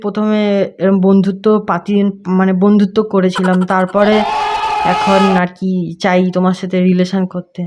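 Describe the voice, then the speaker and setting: A man's voice: high-pitched, completely flat delivery, moderate pace, heavy background noise. A young man, chatting casually on a prank call in a hesitant, teasing way. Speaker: prank caller and video host.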